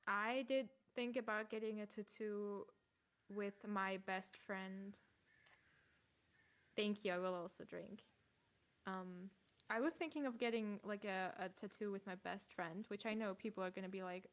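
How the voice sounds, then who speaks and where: A woman with a German accent whose first language is German, chatting on a live stream. She talks in an animated, joking way, with frequent laughs and casual swearing. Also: medium pitch, unhurried.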